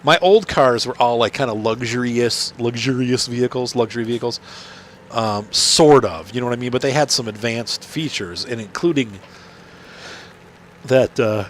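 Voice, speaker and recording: A man's voice: mid-range voice, normal speed, a little hiss or hum. Nasal man with a cold, chatty gamer. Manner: rambling, chatty, many fillers and restarts. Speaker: gaming channel host. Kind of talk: casual commentary while playing.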